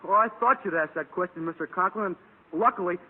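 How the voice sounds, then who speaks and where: An American actor playing a dim-witted, sheepish, earnest teenage schoolboy in a vintage radio comedy. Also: male voice, high voice, quick pace. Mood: sheepish, stalling. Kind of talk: 1940s-50s American radio comedy acting. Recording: crackly narrow-band old radio recording, loud noise.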